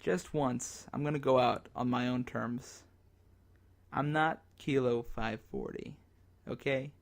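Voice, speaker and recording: Despairing male survivor broadcasting on ham radio , played by a man, mid-pitched, halting and dramatic, for a zombie radio drama. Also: steady tone, gravelly. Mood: bitter, defiant. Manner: halting, dramatic, broken into short phrases.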